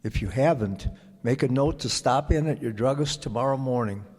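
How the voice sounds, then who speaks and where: A man reading a script as an old-time radio announcer, with measured, deliberate phrasing. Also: medium pitch, a little mellow. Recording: echoey hall with audience present.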